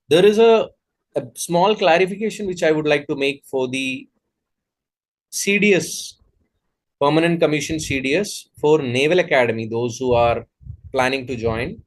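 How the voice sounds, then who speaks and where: A man in his thirties with an Indian English accent, coaching students in an online class, earnest and hesitant with many restarts. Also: a little high. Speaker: exam coach.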